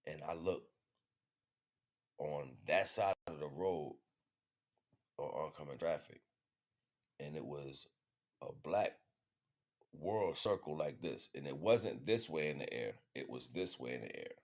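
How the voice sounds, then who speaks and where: A man's voice: very deep voice, animated, measured pace, pauses often, darkish tone. An American man giving a casual spiritual monologue as a livestream host, in slangy, profane, conversational speech.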